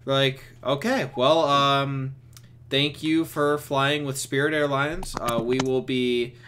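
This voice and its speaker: A man's voice: mid-range voice, slow pace. Sweary young man on a noisy mic. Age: young adult. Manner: animated, sweary, exasperated ranting. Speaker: streamer on a group voice chat. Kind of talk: casual chat and storytelling. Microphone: computer mic on a voice chat. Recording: noisy voice chat with clicks.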